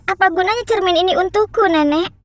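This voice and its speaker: Very high, earnest little girl. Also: fast talker, soft background music. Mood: pleading. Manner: pleading, earnest. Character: cautious, sweet, loyal little girl. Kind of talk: children's cartoon dub.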